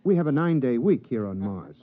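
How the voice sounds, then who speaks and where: A man's voice: mid-range voice, big pitch swings, quick pace, natural voice. A man playing a mild, polite, naive and lonely geologist in a 1950s radio drama, hesitant and prim. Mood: earnest explaining. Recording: dull old radio recording.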